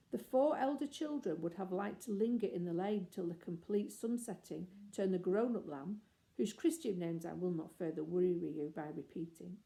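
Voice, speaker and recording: A woman's voice: fairly low pitch, creaky, dark tone. A woman narrating a children's story expressively, as an audiobook reader.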